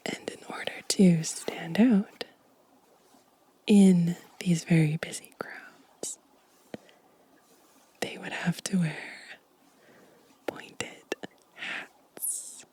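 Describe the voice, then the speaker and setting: A woman softly whispering as an ASMR host, gentle and unhurried, with a breathy voice close to the microphone. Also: on the low side, fairly even intonation. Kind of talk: soft-spoken whispered ASMR show and tell.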